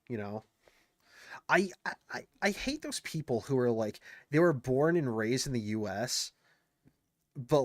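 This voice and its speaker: A man's voice: medium pitch, no echo, clean studio sound. A man commenting on clips in a casual, indignant way, thinking aloud with lots of fillers and restarts. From United States.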